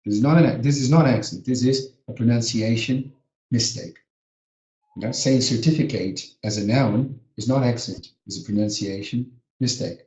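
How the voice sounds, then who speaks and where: A man's voice: medium pitch, normal speed, darkish tone, dull audio. Brazilian man teaching pronunciation, echoey. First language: Portuguese. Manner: earnest, emphatic, repeats words to drill pronunciation. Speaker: teacher educator. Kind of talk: live webinar lesson. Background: a small child heard now and then.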